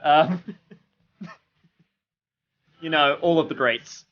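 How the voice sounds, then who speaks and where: A man's voice: fairly high pitch, very slow, muffled recording. A man livestreaming a game with casual, rambling commentary full of jokey asides.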